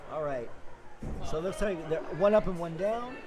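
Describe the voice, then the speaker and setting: A man with a raspy voice calling live sports play-by-play, hoarse after days of talking, upbeat and quick with frequent fillers. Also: fairly high pitch, animated, crowd noise. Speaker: play-by-play commentator.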